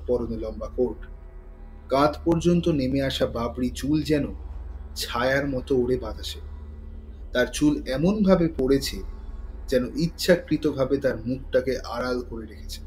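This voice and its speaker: A man's voice: mid-range voice, darkish tone, low-quality recording. Suspenseful male thriller narrator. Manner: measured, suspenseful. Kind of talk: dramatic scripted thriller narration.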